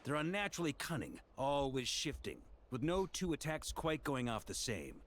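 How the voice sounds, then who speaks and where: An actor voicing a genial, confident knight commander who loves a drink, in a video game. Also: male voice, medium pitch, a bit bright, a little hiss or hum. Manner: confident, jovial, rallying.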